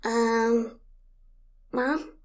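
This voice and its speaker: A woman's voice: fairly high pitch, flat delivery, drawn-out words. A woman playing an anxious, stubborn eight-year-old cartoon pony girl with a pleading, childlike manner. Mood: nervous. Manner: pleading, childlike, stammering when in trouble. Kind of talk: animated fan audio drama acting.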